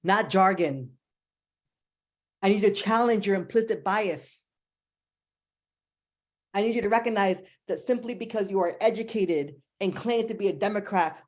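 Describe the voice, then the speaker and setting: A young woman from New Jersey with a New Jersey accent, giving an impassioned, fast-paced speech as a symposium panelist, emphatic and pleading. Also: mid-range voice, darkish tone. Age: young adult.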